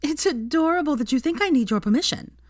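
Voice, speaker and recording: Woman voicing a high, brash, cheeky young witch. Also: lively intonation, fast, no echo, clean studio sound. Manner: emphatic, huffy. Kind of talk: audiobook character voice.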